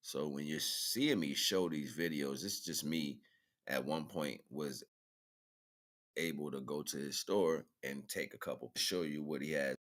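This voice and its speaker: A man's voice: extremely low pitch, a little slow, fairly bright tone. A man talking casually and informally as the host of an online livestream, rambling and colloquial as he addresses his listeners.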